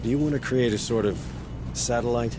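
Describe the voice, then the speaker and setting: A man as a gruff Marine sergeant. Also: mid-range voice, brisk, noisy background, lightly acted. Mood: blunt. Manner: blunt, curt, profane. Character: gruff male Marine sergeant, blunt, tough, in charge.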